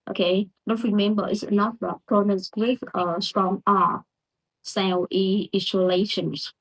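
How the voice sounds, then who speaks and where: Vietnamese-accented woman, halting. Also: lowish voice, low-quality recording. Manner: halting, hesitant, with many restarts. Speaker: English learner sharing lessons. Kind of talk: casual pronunciation lesson.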